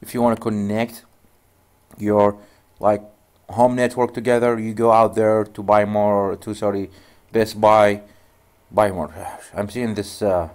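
A man's voice: fairly low pitch, flat delivery, measured pace, darkish tone. A man whose native language is Arabic, walking through a networking tutorial in loose, rambling speech with frequent restarts. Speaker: networking instructor. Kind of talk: screen-recorded tutorial.

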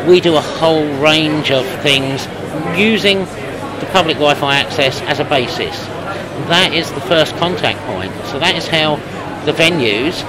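A man in his fifties being interviewed at a trade show, explaining things in a measured, businesslike way. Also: on the high side. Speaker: company representative being interviewed. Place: busy exhibition hall booth. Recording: loud trade-show crowd noise. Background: loud crowd hubbub.

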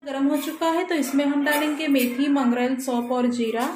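A woman hosting a cooking channel, calmly narrating a recipe step by step in her home kitchen. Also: high voice, normal speed, echoey room, noisy background. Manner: calm, instructional, repeats "we will add".

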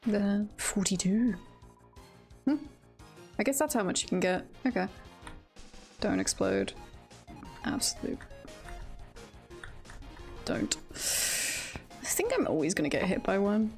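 A woman's voice: mid-range voice, big pitch swings, slow pace, pauses often, faint music behind. A woman streamer giving casual live game commentary, thinking aloud and chattily repeating phrases to herself. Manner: chatty, self-talking, often repeats phrases.

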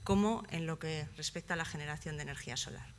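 A young woman giving a conference presentation, pausing often with fillers and restarting phrases, seated at a table in a conference hall. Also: deep voice, slight background noise. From Basque Country, Spain. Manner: hesitant, many fillers and restarts. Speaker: project manager presenting at a conference.

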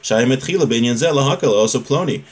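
A man's voice: mid-range voice, fast. Man teaching a religious text, explanatory. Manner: explanatory, colloquial, repeating key points. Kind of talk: informal lesson, reading Hebrew text and explaining it in English. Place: small room at home, indoors.

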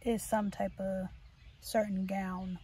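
An American woman in her thirties chatting casually and reflectively in an earnest, halting way, as a vlogger in a car. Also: medium pitch, little pitch movement. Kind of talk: casual, reflective chit chat. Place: inside a parked car. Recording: distant mic, clear background noise.

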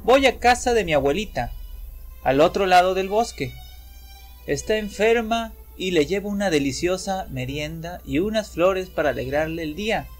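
An eager little girl from a storybook, bubbling with excitement, as a man voices her. She sounds high and light, with soft music faint behind. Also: moderate pace. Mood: cheerful. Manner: bright and excited.

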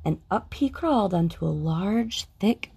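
A woman reading a children's picture book aloud with a lilting, expressive storytelling manner. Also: medium pitch, unhurried, gravelly, husky. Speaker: storybook reader.

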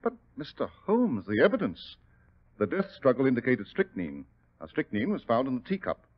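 An actor playing a smooth, formal doctor, politely evasive, in 1940s radio drama style. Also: male voice, mid-range voice, wildly swooping pitch, normal speed. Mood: uneasy, resisting. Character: courteous, smooth-talking doctor, evasive under questioning. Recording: muffled old-time radio recording.

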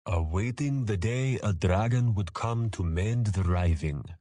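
Man voicing an ancient gravelly dragon, very slow. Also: on the low side, mellow, dry recording. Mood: solemn, regretful. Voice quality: gravelly, tight with disuse. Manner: slow, formal, weighty delivery.

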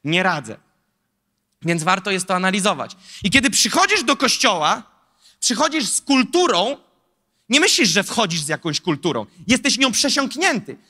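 A man in his thirties preaching a sermon into a handheld mic on a large church stage, animated and rhetorical, with lively asides to the audience. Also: high-pitched, moderate pace. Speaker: pastor. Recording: long hall reverb.

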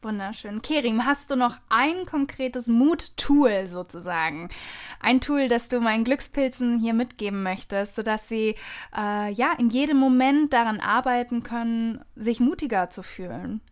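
Higher-pitched woman hosting a podcast. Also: measured pace. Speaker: podcast host. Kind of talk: conversational podcast interview.

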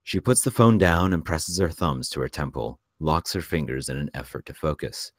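Casting: male narrator, extremely deep voice, reads screenplay action lines, very dry recording. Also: animated, normal speed, no room sound. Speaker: narrator reading stage directions. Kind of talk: scripted narration for a table read.

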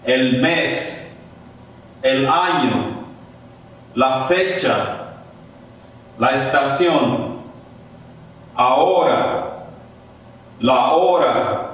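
Very slow Mexican man reciting words. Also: medium pitch, a bit bright. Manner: measured, separated words. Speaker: student reading word lists aloud. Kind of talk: reciting vocabulary one item at a time. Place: classroom, indoors. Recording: very echoey room, distant mic.

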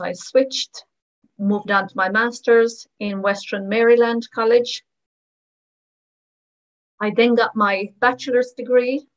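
A woman speaking as a voice-over interpreter, giving first-person testimonies of signers in short, measured phrases. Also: mid-range voice, highs cut off. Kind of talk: voicing first-person testimonies in plain narration.

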